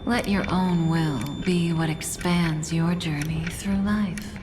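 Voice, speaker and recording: A calm, enigmatic female mentor from a video game. Her voice is lowish and soothing, very measured and slow, with noticeable ambience behind it. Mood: calm, guiding. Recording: clear background ambience noise.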